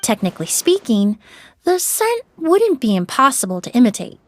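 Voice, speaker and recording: Polite brainy young perfumer in a game, highish voice, lively but precise. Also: female voice, normal speed. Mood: analytical. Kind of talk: video game voice acting.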